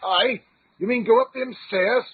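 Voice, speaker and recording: A man's voice: extremely high pitch, unhurried, husky, dry recording, heavily acted. An actor playing a surly, reluctant tough-guy pilot in an old-time radio adventure, slangy and grudging. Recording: tinny band-limited radio drama, background noise.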